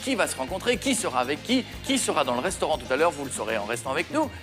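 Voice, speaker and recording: A man hosting a TV dating game show, exuberant and teasing, often shouting over the studio. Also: on the high side, big pitch swings, racing. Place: TV studio with audience. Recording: studio with music and crowd.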